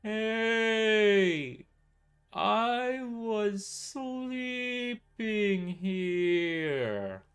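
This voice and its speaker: A man's voice: sits high, animated, extremely slow, a bit bright, clean tone, soft background music, voice pushed up, exaggerated voice. A man voicing a large, sleepy, dim-witted burly man with a grumpy drawl in an audio story. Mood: groggy annoyance.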